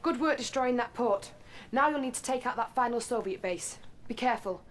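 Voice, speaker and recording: An actress playing an earnest, professional military intelligence officer, giving crisp mission briefings in a video game. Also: female voice, a little high, fairly quick, slight background noise, natural voice. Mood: businesslike.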